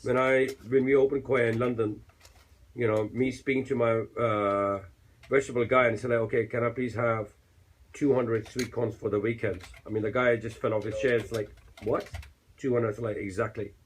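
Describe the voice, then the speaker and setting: A male chef talking as a radio studio guest, relaxed and explanatory, with many fillers. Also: mid-range voice, moderate pace, slight background noise. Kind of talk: studio interview.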